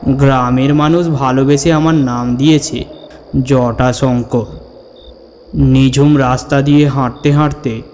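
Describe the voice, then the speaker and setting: Male horror-story narrator, unhurried and dramatic, mid pitch, heavy hall reverb. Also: a little mellow, slight background noise. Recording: long reverb over the voice. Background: background music.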